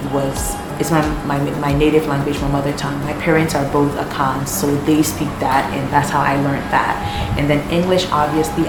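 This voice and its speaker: A young Ghanaian woman, a native Twi speaker, chatting casually to camera in a vlog and often checking in with "okay?". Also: sits low. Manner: chatty, keeps asking "okay?". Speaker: vlogger. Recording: echoey room with loud air conditioning hum.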